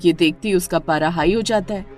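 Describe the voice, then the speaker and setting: A woman's voice: on the low side, brisk, darkish tone, faint music behind. A woman narrating a scripted dramatic story as its storyteller.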